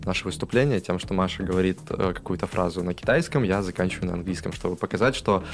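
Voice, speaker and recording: A man in his thirties, a guest in a studio interview, explaining his tourism project with many pauses and fillers. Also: low-pitched, soft background music. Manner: explanatory, with frequent hesitations. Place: radio studio. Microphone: studio mic on stand.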